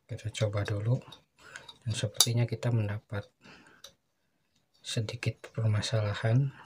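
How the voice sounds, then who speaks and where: Low, flat-voiced man explaining a DIY build. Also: a little slow, noisy background. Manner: plain, explaining while working with his hands. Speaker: DIY camping channel host. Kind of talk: casual step-by-step craft tutorial. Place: indoors at a work table.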